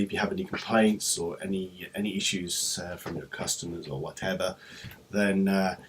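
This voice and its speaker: A man's voice: on the low side, a little mellow, husky. A man presenting an informal, unscripted company explainer vlog, talking in a hesitant, rambling way with trailing asides.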